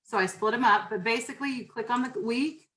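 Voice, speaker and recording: A loud-voiced woman leads an online class over a video call. She talks in a chatty, informal way, rambling a little and restarting her sentences as she goes. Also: a little high, fairly quick. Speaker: university instructor. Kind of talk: online class welcome and syllabus talk.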